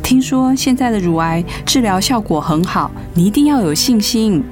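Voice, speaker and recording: Casting: actress as concerned female best friend, mid-pitched, Taiwanese Mandarin, radio play over clear background music. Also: animated, moderate pace, natural voice. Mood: worried, reassuring. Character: caring, concerned woman friend, gently admonishing. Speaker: voice actor in a radio drama. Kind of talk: dramatic radio play acting.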